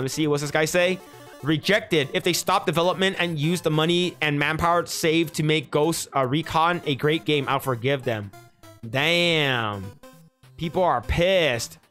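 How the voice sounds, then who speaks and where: A young man talking casually into a headset mic, his voice sitting a touch high for a man. He reads posts out slowly and steadily, then breaks off to swear and react. Faint music plays behind him. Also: fairly bright tone. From United States. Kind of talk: casual commentary, reading posts aloud. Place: small room indoors at a desk.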